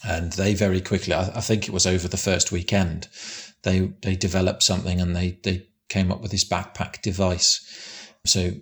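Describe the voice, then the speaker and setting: Deep gravelly man, big voice. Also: level tone, normal speed. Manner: rambling, repeats words and restarts, plain-spoken. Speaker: construction site manager. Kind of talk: casual interview answers.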